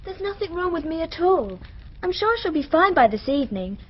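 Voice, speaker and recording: Pleading girl, high voice, swooping intonation, radio play. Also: very animated, brisk. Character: pleading young daughter eager to go out. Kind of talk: British radio drama acting. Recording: dull radio drama recording.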